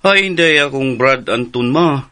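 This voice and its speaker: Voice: mid-range voice, expressive, moderate pace, bright, forceful tone. An actor playing a childlike, insistent son in a radio drama, with a Cebuano Filipino accent and a naive manner. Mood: naive, questioning. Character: childlike, excitable son with a developmental condition. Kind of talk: Philippine radio drama acting. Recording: music behind the voice.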